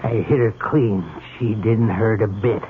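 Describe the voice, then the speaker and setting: A man's voice: fairly low pitch, steady tone, unhurried, natural voice. An actor playing a nervous, simple country henchman, deferential and plain-spoken, in an old-time radio drama. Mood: anxious, reporting. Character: nervous, simple rustic cab driver turned hired killer. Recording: muffled, noisy old radio recording.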